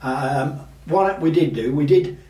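A man, a local councillor with a loud voice, talking casually in an interview and pausing often with fillers. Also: fairly high pitch. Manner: loud voice, halting with many fillers. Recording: echoey room, distant mic.

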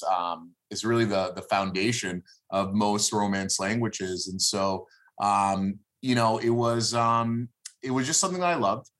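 A man in his thirties, a native Hebrew speaker from Israel, talking as an interview guest in a thoughtful, halting way with many fillers. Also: lowish voice, fairly bright tone. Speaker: guest, moving company businessman. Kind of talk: casual podcast interview.

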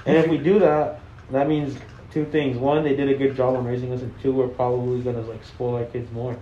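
A man's voice: medium pitch, little pitch movement, fairly quick, warm tone. A young man co-hosting a casual podcast, rambling in slangy talk and leaning on stock filler phrases. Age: young adult. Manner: rambling, leans on filler "what's it called". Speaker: podcast co-host. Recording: echoey, slightly muffled, faint noise.

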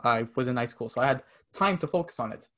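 A man's voice: mid-range voice, expressive, fast, fairly bright tone. Young canadian guy rambling on a podcast, lots of likes, chill casual chat. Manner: rambling, many "like" fillers, acts out quoted texts. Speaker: podcast guest.

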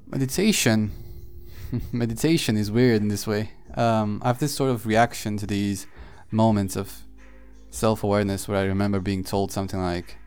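A man hosting a solo podcast, thinking aloud in a halting, reflective way with frequent pauses and fillers. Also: on the low side, steady tone, darkish tone, smooth. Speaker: podcast host. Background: faint background music.

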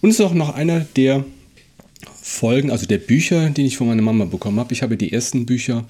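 Mann mit leicht belegter, weicher Stimme. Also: male voice, mid-range voice, fast talker, warm tone. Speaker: podcast co-host. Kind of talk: casual podcast discussion.

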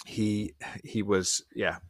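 Deep-voiced thoughtful preacher. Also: male voice, fairly even intonation, slow pace, no room sound, studio quality. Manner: thoughtful, self-deprecating, repeats words. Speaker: preacher discussing his sermon. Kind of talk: casual church podcast chat.

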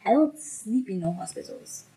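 A woman's voice: mid-range voice, normal speed, poor audio. Emphatic young woman chatting. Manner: animated, emphatic, laughs often. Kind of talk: casual chat.

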